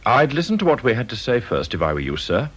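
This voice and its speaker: A man's voice: mid-range voice, sing-song, husky. An actor with an English accent playing a mock-polite, menacing kidnapper who poses as a policeman in a radio drama. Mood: smugly menacing. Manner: mock-courteous, unhurried, insinuating.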